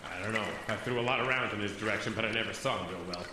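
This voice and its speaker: A man's voice: on the low side, rapid-fire, very noisy, natural voice. An actor playing a tough, determined armed lawman in a radio drama, speaking in a terse, commanding, no-nonsense way. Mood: strained, urgent. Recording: very echoey space, loud music bed.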